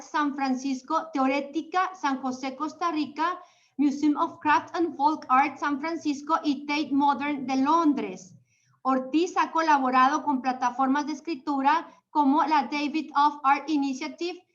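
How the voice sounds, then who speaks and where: High-voiced woman reading an introduction. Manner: formal, steady, occasional fillers. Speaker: museum host introducing a guest. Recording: dull lo-fi sound.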